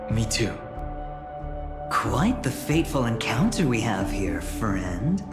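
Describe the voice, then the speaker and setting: A suave gambler type in a video game. He talks slowly with expressive swings in pitch, wry and teasing in his easy confidence. Music plays clearly behind him. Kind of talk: dramatic scripted game dialogue. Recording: prominent background music.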